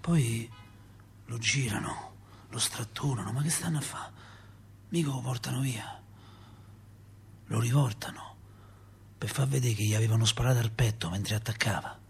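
A man's voice: medium pitch, creaky, natural voice. Emotive Roman father, acted by a man in radio drama. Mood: grieving, tender. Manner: colloquial, emotive, halting storytelling. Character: grieving working-class Roman father recalling a street killing. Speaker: radio theatre actor.

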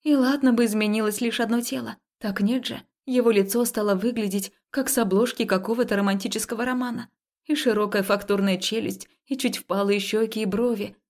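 A woman narrating a first-person romance story as an audiobook, expressive and confessional, acting out the dialogue. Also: on the high side, brisk.

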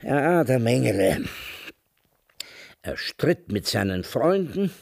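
An actor playing a melancholy, sardonic old intellectual in a radio drama, speaking in a deliberate, ironic and literate way. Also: male voice, mid-range voice, very animated, creaky, darkish tone, no echo, studio quality, heavily acted. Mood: mocking, ironic. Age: older.

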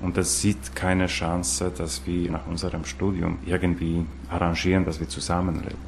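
A man's voice: sits low, level tone, normal speed, gravelly, a little hiss or hum. A man giving a reflective personal account in searching, hesitant phrases. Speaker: interviewee. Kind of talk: reflective personal account in a radio documentary drama. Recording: music behind the voice.

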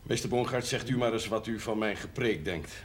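A man's voice: on the low side, a little fast, lightly acted. A man acting an eager, plain-spoken parish priest in a television period drama, deferential yet persuasive. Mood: insistent.

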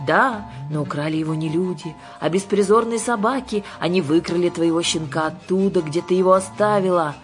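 Женщина играет властную и хитрую ведьму-гадалку из книги, говорит грубовато, театрально и таинственно. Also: female voice, fairly low pitch, fairly quick, hardly any pauses, faint music behind, natural voice. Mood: ominous. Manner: brusque, mysterious, colloquial. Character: bossy, cunning witch fortune-teller woman, gruff and theatrical.

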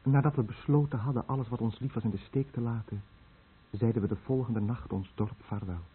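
A man's voice: mid-range voice, fairly even intonation, brisk, husky. An actor playing a dying, guilt-ridden fisherman who tells of his lost village in a brooding, bitter radio drama monologue. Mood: bitter remorse. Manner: brooding, bitter, confessional monologue addressed to the listener. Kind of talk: Dutch-language radio drama narration. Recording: muffled narrow-band old radio recording, faint noise.

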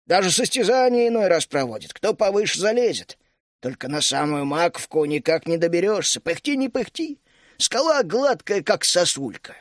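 A man's voice: sits high, big pitch swings, normal speed, no room sound. Placid bald gnome husband, chuckling and homely, voiced by a male reader; dry close sound. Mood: easy.

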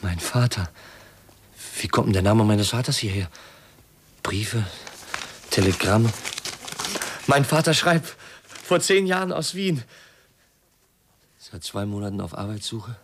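An actor playing a troubled, earnest young man who speaks in halting, intense phrases in a 1950s German radio drama. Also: animated, fairly bright tone. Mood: puzzled, shaken. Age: young adult. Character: troubled, earnest young male outsider in a mystery. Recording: faintly noisy, rumbly 1950s radio recording.

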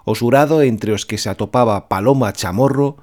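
A man reading scripted narration as a radio music show host, in a measured, even delivery. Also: mid-range voice.

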